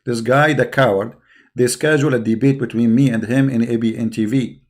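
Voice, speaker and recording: A man's voice: mid-range voice, steady tone, brisk. A man who speaks Arabic natively, hosting a religious debate in an insistent, combative manner and repeating phrases for emphasis. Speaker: debate show host. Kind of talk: argumentative religious debate with a caller.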